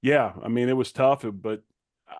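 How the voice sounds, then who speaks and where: A man's voice: mid-range voice, moderate pace, darkish tone, dry recording, clean studio sound. A middle-aged man, a former player turned commentator, giving conversational sports analysis in an informal, plain-spoken way.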